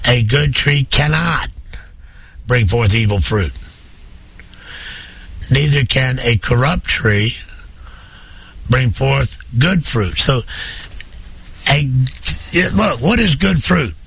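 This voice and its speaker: A man preaching fervently on a program while hoarse with a head cold, building his points through emphatic, rising repetition. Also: mid-range voice, animated, takes it slowly, pauses often, a bit bright, rough recording. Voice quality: husky.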